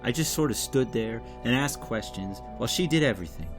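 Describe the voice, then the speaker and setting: Actor as earnest male rookie, confused, hesitant, animated intonation, mid-pitched, music underneath. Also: a little fast, close to an everyday voice. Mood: unsure, earnest. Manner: hesitant, questioning. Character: bewildered, earnest rookie male agent, former mechanic. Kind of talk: audio drama acting.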